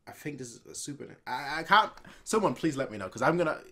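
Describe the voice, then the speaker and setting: A man's voice: a little high, big pitch swings, fast talker, sharp tone. A man in his twenties giving casual, unscripted reaction commentary from a home room, rambling and repeating his phrases. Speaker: reaction video host. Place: small home room indoors.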